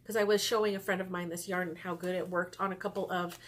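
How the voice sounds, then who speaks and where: A woman's voice: on the low side, flat delivery, quick pace. A woman in her forties with a stuffy nose, chatting casually to camera at home about her knitting, rambling with lots of ums and little laughs. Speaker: knitting podcast host. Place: small room indoors at home.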